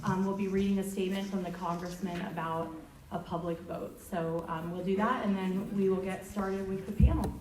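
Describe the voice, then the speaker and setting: A woman's voice: mid-range voice, fairly even intonation, moderate pace, a little mellow. Female organizer, informal event welcome, roomy distant sound with faint background noise. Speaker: community organizer opening an event. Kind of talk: informal welcome to a public meeting. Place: indoor town hall meeting. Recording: echoey hall, distant mic, faint noise.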